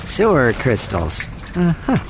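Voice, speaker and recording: Casting: young sardonic animal hero, cartoon game. Slightly high, lively, casual, music behind. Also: male voice, takes it slowly, background noise, exaggerated voice. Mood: wry, hesitant. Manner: casual, wry. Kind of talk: video game cartoon acting.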